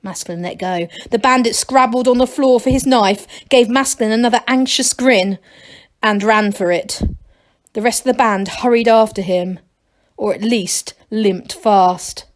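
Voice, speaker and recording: Female storybook narrator, slightly high voice, reading aloud for children. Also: a little slow.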